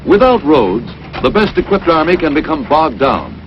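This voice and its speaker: A man delivers 1940s newsreel narration in an emphatic announcer manner, his voice pitched fairly high and swinging widely. The sound is muffled and lo-fi, with a steady hiss from an old film soundtrack. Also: moderate pace.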